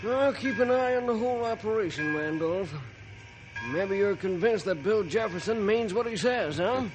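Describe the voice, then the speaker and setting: A man's voice: high-pitched, expressive, moderate pace, music in the background, low-quality recording. An actor playing a frank, loyal male railroad foreman in a 1950s radio drama. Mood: frank, needling. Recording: vintage 1950s radio recording.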